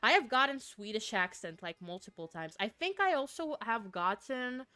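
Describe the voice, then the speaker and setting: A woman's voice: fairly high pitch, expressive, moderate pace, sharp tone, dry recording. A woman from Finland, a native Finnish speaker, chatting casually with viewers on a game livestream, giggling and repeating words as she goes. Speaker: streamer.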